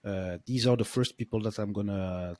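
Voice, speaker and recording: A man's voice: fairly low pitch, moderate pace, a little mellow, dry recording. A man hosting a podcast interview, speaking hesitantly with lots of fillers. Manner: hesitant, many fillers and restarts. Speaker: podcast host. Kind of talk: casual interview.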